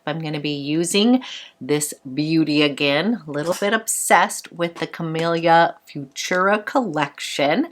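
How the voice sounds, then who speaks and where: A woman beauty vlogger chatting brightly through a makeup tutorial, a little congested from a cold. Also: lowish voice. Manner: bubbly and chatty, fond of "a little".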